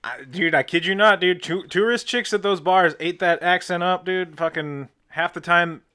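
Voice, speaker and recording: A middle-aged man chats on a podcast in a slightly high, bright voice. He rambles through stories with plenty of profanity and a loose, casual flow. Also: moderate pace, clear, resonant tone. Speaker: podcast co-host. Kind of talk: casual chat.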